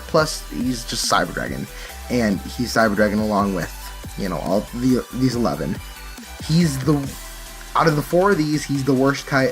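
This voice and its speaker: A man giving a casual, explanatory walkthrough as a card game player presenting a deck. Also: mid-range voice.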